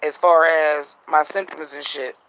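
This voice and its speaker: High-voiced raspy man ranting. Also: level tone, a little slow, a bit bright, low-quality recording. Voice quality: a little raspy. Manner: blunt, profane, ranting. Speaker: vlogger. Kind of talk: casual venting monologue. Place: at home at night, in the dark.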